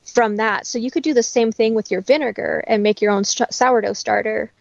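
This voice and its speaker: A woman's voice: on the high side, fairly even intonation, fairly quick, vocal fry, darkish tone, dry recording, highs cut off. A woman talking in a casual conversational interview as a guest, explaining things in a chatty way.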